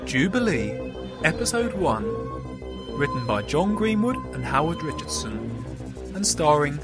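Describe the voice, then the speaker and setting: A man reading the end credits in a measured, formal way. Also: on the high side, sing-song. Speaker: credits announcer. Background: loud music.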